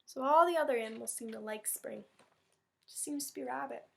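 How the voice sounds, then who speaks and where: A woman with a fairly high, noticeably creaky voice leads a read-aloud for schoolchildren. She moves casually between storytelling and simple, chatty explanations aimed at young listeners. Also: brisk, a bit bright, a little hiss or hum. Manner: explains and comments in simple words for children. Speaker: teacher reading aloud.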